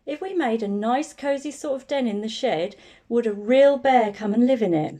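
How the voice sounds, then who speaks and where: Woman as an eager little girl, high and lively. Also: normal speed. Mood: hopeful. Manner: earnest, hopeful, chatty. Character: eager, earnest little girl who loves bears. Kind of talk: children's picture book read-aloud.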